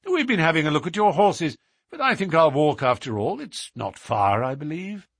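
A man reading aloud, voicing a cool, measured, sharp-witted gentleman detective. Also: on the high side, big pitch swings, breathy edge, dry recording, clean studio sound, voice pushed up, exaggerated voice. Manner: cool and measured, dropping to a hushed whisper.

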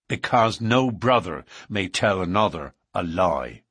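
A bold young-blooded outlaw from an audiobook, played by a man. He is self-assured and matter-of-fact in his defiance, with a slightly husky voice, lively intonation and a close, dry sound. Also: deep voice, a little slow, no echo, exaggerated voice. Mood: defiant. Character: cool, bold male outlaw newcomer, quick-tongued. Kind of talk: audiobook character dialogue.

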